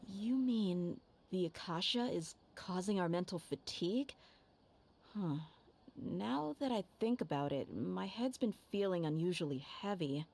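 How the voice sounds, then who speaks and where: A woman's voice: lowish voice, expressive, unhurried, gravelly, darkish tone, full character voice. A woman playing a frank, confident adult mercenary in a video game. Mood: reasoning.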